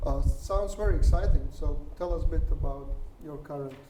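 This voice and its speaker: A man hosts a startup community event and interviews his guest. His voice sits a little high, and he often restarts his sentences. A clear layer of background noise runs under his speech. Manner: halting, with restarts. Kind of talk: interview questions.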